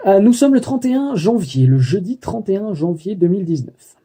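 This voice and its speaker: A man's voice: high voice, big pitch swings, normal speed, mellow, husky. A young man recording a daily video diary about his student life, talking hesitantly with many fillers and self-corrections. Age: young adult. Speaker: student vlogger.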